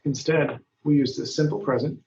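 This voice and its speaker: A man's voice: on the high side, steady tone, a little mellow. Patient male english teacher, roomy far-off sound. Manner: patient, deliberate, lifts his voice when reading quoted lines. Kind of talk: slow, clear grammar lesson, reading example dialogues. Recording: dull, roomy sound from a distance.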